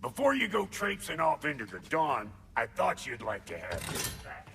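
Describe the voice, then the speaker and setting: An actor playing a brash, gruffly kind tradesman in a game, loud, sarcastic and colloquial. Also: medium pitch, wildly swooping pitch, normal speed, in a large hall, background noise. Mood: brash, teasing. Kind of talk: animated video game acting.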